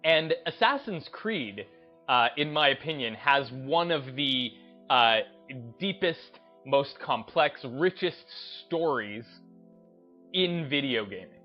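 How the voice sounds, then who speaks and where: A man giving off-the-cuff opinion commentary on a gaming channel, speaking in halting, emphatic short bursts. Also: medium pitch, expressive, dull audio, faint music behind.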